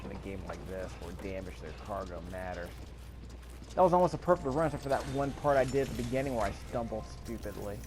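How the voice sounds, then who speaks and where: A man's voice: on the low side, lively intonation, fast talker, a little mellow, a little hiss or hum. A man giving casual, off-the-cuff gameplay commentary, musing aloud and repeating his phrases. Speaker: gaming video host. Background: faint video game ambience.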